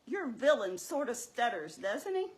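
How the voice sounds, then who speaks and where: Woman as sensible, teasing church-show puppet: high pitched, swooping intonation, explains and chides. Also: sing-song, unhurried. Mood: chiding, teasing. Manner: explanatory, chiding, wry. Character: Mary, sensible, teasing, scolding female puppet.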